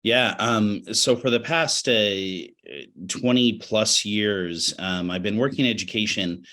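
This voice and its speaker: A middle-aged American man with a low-pitched voice, a little raspy from a cold. He is a webinar guest reflecting on his work, choosing his words in a measured, halting and earnest manner. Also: sharp tone. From Utah, United States. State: has a cold. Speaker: interview guest, education professional.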